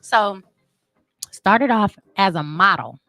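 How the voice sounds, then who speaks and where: A woman in her thirties chatting informally as a talk show guest, telling stories in an animated way. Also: mid-range voice, takes it slowly, no echo. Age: 30s. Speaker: talk show guest, marketing and branding entrepreneur. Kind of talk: casual interview chat.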